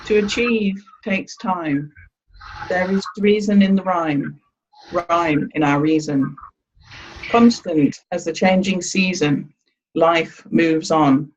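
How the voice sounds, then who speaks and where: A female guest poet reads verse very slowly and deliberately, at a middling pitch. The recording is dull and muffled, with some noise and clicks. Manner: measured, deliberate. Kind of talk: poetry reading. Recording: muffled, noisy line with clicks.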